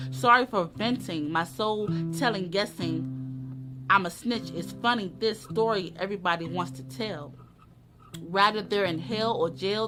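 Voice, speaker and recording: A woman giving a dramatic reading of poetry. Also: medium pitch. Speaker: poetry reader. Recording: live music under the voice. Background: plucked guitar music.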